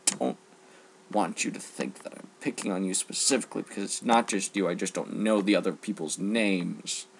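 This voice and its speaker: A young man giving an earnest, exasperated, unscripted rant, speaking emphatically with halting pauses in a husky, gravelly voice. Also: on the low side, a little hiss or hum. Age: teens to young adult. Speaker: online ranter.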